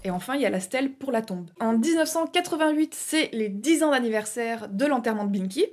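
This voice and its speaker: A woman's voice: a little high, animated, a little fast, a little mellow. A young woman casually explaining an artwork in a chatty way, with frequent filler hesitations. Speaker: YouTube art explainer. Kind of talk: casual educational video narration.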